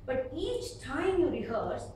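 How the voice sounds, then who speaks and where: A middle-aged woman with an Indian accent giving an instructional speech to an audience, expressive and emphatic, with dramatic pauses. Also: sits high. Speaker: public speaking trainer at a speakers club. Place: echoey meeting room with an audience. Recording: echoey hall from far off, noisy.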